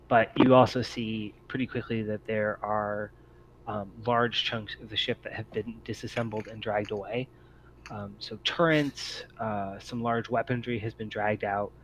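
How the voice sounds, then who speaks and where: A man acting as game master in an online tabletop game, narrating scenes in a halting, improvised way. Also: on the low side. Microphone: online voice call. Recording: muffled, noisy online voice chat.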